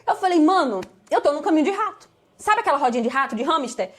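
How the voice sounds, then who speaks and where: A Brazilian woman from Bahia with a smoothed São Paulo accent, a guest telling her life story in an animated, emphatic way that repeats phrases for effect. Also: high voice, fast talker. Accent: Brazilian Portuguese, São Paulo-smoothed. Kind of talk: conversational interview storytelling.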